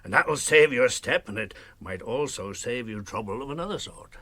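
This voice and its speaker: An actor playing a kindly but gruff old hobbit farmer in a radio drama, with a folksy, plain-spoken manner. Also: male voice, mid-range voice, expressive, fairly quick, pushed and bright, dry recording. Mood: genial, chuckling. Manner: folksy, plain-spoken, chuckling country turns of phrase.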